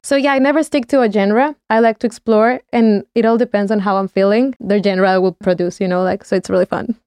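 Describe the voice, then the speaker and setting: A woman's voice: medium pitch, fairly even intonation, fairly quick, darkish tone, clear, resonant tone, no room sound. A woman in her twenties whose first language is Spanish, chatting in a loose, filler-heavy way as a podcast co-host. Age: 20s. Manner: chatty, many "like" fillers, self-correcting. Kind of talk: casual chat.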